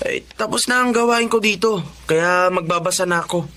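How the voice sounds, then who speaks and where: A meek, respectful young student janitor played by a man in a radio drama. His voice is bright and fairly high, earnest and polite, over slight background noise. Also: fairly quick.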